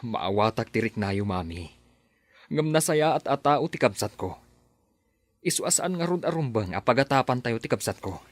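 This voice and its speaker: A man's voice: medium pitch, big pitch swings, moderate pace, no echo. A man playing a worried father of a kidnapped child in a radio drama, earnest and respectful. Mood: pleading. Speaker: son and husband.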